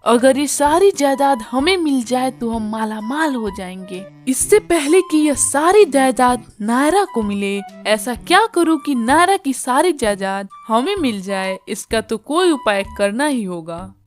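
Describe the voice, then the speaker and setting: A woman's voice: sits high, expressive, measured pace, hardly any pauses, faint music behind. A woman voicing a greedy, cruel, scheming middle-aged aunt in a cartoon story. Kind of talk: Hindi cartoon story dialogue.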